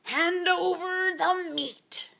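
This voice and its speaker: A woman's voice: very high-pitched, steady tone, very slow, gravelly, sharp tone, voice pushed up, exaggerated voice. A woman voicing a hearty, bossy male pirate captain, bellowing orders in storybook pirate talk. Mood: hearty, commanding.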